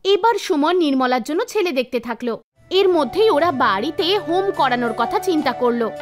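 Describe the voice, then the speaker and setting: A woman narrating a scripted animated folktale as a storyteller. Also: high voice, moderate pace. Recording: background music behind voice. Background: soft music.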